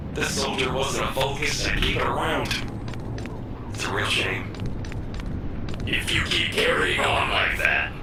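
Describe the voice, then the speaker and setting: An actor playing an excitable, chatty, playful young soldier in a video game, rambling theatrically. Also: male voice, medium pitch, heavy background noise. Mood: mock complaining. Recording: roomy, distant, under loud music.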